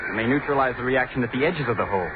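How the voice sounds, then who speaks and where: An actor playing an ominous, insistent expert warning of doom, emphatic and theatrical, in an old-time radio horror play. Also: male voice, medium pitch, rapid-fire, lightly acted. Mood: ominous insistence. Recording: noisy, narrow old radio recording.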